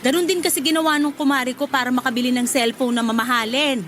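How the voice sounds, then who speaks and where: A high-pitched, demanding middle-aged mother acted by a woman in a radio drama. She nags and wheedles expressively, pressing her grown children for what she wants. Faint music sits behind her. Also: quick pace. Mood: coaxing. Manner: nagging, expressive.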